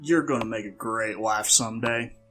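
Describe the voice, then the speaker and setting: Bright-voiced, teasing older man, acted by a man. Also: fairly low pitch, moderate pace, faint music behind. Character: stubborn, teasing older working man, kindly and proud. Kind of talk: audio drama dialogue.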